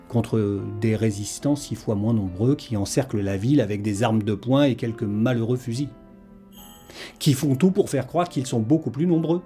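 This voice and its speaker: A man's voice: mid-range voice, dark tone. A man reading a scripted historical documentary narration as its narrator.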